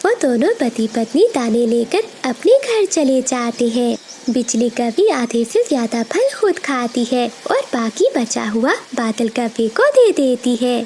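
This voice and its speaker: A woman's voice: fairly high pitch, big pitch swings, unhurried, a little mellow, a little hiss or hum. A woman reading a scripted children's story as the narrator.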